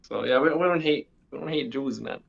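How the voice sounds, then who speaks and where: A man's voice: mid-range voice, a little fast, gravelly. Teenage young man on a dull call. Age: teens, sixteen. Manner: informal, repeats himself for emphasis. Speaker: interview guest. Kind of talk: casual conversational interview.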